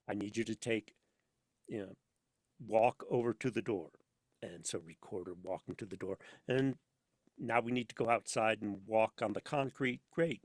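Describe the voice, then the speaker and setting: A man chatting casually as an interview guest, telling anecdotes in an animated way and acting out bits of dialogue. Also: fairly low pitch, no room sound. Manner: animated storyteller, acts out imagined dialogue. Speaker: podcast guest, sound designer for audio dramas. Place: inside a studio.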